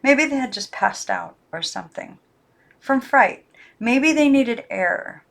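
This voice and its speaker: A woman reading a children's novel aloud in calm, even narration, now and then correcting herself. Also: fairly high pitch, slow pace, gravelly. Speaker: audiobook reader.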